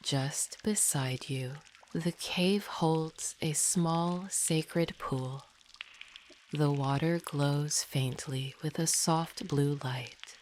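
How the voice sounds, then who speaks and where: A woman guides a meditation in a soothing, hushed, often near-whispered delivery. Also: sits low, extremely slow, slight background noise. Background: dripping, pouring water sounds.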